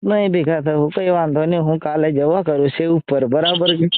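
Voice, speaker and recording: Man, slightly high voice, scolding and fed up, casual phone argument, thin narrow-band line. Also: fairly even intonation, fairly quick. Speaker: social media video creator taking a call. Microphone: phone call.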